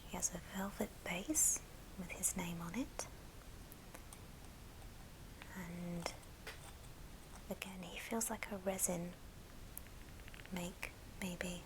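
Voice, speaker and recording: A woman whispering breathily and gently in an ASMR show and tell, leaving pauses between short phrases. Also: fairly low pitch, noisy background. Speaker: ASMR video host.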